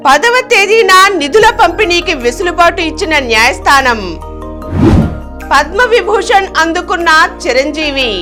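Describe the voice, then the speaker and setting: A woman's voice: extremely high pitch, a bit bright. A woman presenting scripted news headlines and reports as a newsreader.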